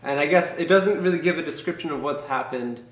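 A man's voice: a little high, brisk, far from the mic. A young man leading an informal Bible study to camera, talking earnestly and conversationally with fillers and restarts. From Canada. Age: young adult. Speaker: campus ministry leader. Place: small room with brick wall and bookshelves, indoors. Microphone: camera mic from a few feet away. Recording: echoey room, voice set back from the mic.

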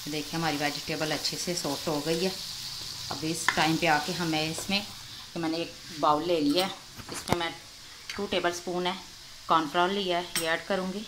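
Low, flat-voiced woman over loud sizzling. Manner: calm, unhurried explaining with friendly "chalein ji" prompts. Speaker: cooking channel host. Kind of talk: step-by-step cooking instruction. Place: home kitchen, at the stove. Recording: loud frying and stirring noise behind the voice.

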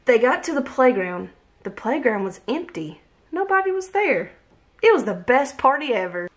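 A woman in her thirties reading a picture book aloud with gentle, expressive storytelling, at home on a webcam. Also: high voice, normal speed. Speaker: read-aloud host. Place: home room, indoors. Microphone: webcam at desk distance.

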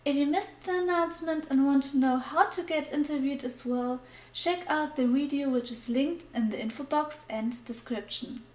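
A woman hosting her art channel, reading a brisk scripted intro and interview questions. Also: sits high, a little mellow. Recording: echoey room.

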